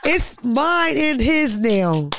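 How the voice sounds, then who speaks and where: A woman's voice: high voice, big pitch swings, drawn-out words, noisy background. A woman telling blunt, crude stories in a casual podcast chat, close to the mic.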